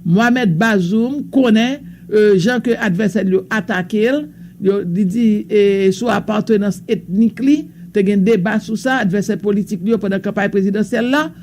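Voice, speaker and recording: Brief: high-pitched Haitian male news presenter, hesitant delivery, faint hiss behind. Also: normal speed, darkish tone. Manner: reads bulletins in a loose mix of French and Creole, with hesitations. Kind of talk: radio news reading.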